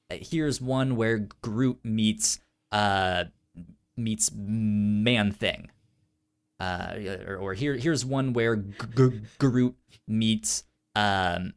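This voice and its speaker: A man's voice: lowish voice, slow, smooth. A man hosting a casual pop-culture podcast in an informal, slangy way, often stuttering and repeating syllables. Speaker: podcast host.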